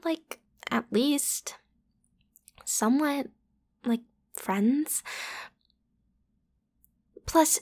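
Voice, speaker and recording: A woman playing a popular, breezy, pushy teenage schoolgirl in an ASMR roleplay, talking casually and teasingly as if to one listener. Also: a little high. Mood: slightly hurt. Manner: playful, teasing, casual youthful phrasing with giggles. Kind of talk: ASMR roleplay, one-sided conversational acting.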